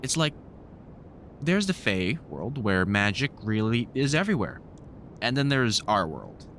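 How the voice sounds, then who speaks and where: A man's voice: lowish voice, big pitch swings, measured pace, a bit bright, a little hiss or hum, exaggerated voice. A man playing a wry, grumpily fond vampire hunter in a conversational audio roleplay, teasing and swearing through rambling explanations. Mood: explaining, wry.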